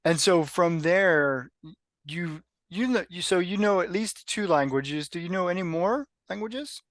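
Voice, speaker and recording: A man's voice: high voice, a little slow, fairly bright tone, no room sound, studio quality. A man hosting a podcast interview, asking questions and often rephrasing or repeating them. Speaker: podcast host.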